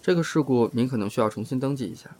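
A man's voice: medium pitch, very fast, a little mellow, natural voice. A man playing a reserved, aloof young insurance adjuster with a restrained, formal manner in an audio drama. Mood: polite, firm. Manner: restrained, formal, matter-of-fact.

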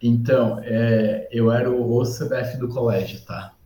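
Mellow-voiced man. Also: mid-range voice, moderate pace. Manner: relaxed, rambling, with frequent "tá?" and "sabe?" tags. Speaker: podcast guest, civil engineer. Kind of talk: casual storytelling. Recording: roomy echo.

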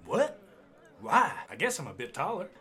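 A man playing a timid young outlaw who answers quietly and hesitantly. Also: a little high, huge pitch swings, moderate pace, full character voice. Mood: meek.

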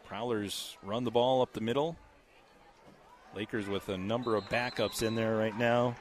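Scratchy-voiced man calling football. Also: lowish voice. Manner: steady, measured play-calling with fillers. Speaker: play-by-play announcer. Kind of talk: local high school football commentary.

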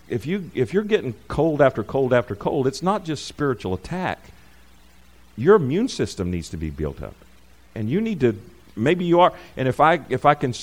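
Man, preacher, informal sermon, middle pitch, rambling, self-correcting, emphatic bursts. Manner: rises loud and high when emphatic. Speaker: pastor.

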